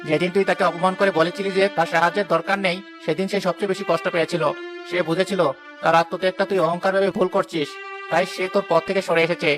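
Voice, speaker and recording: A man's voice: high-pitched, level tone, fast talker, lightly acted. A man playing a frank, wise village uncle who speaks earnestly in a moral-story cartoon. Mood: reproachful. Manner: earnest, admonishing. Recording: instrumental music under the voice.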